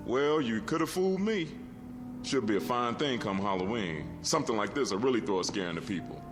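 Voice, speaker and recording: An actor playing a chatty, easily impressed meter reader in a radio drama, folksy, jovial and exclamatory. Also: male voice, mid-range voice, animated, a little fast, close to an everyday voice. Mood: jovial, curious. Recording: music under the voice.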